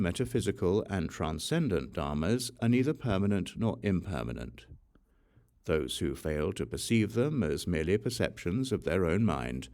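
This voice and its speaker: A man with a very low, gravelly voice reads a sacred text as an audiobook narrator. His delivery is measured and solemn, with deliberate pauses, yet his pitch moves expressively through the phrases. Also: darkish tone. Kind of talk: scripted reading of a Buddhist sutra.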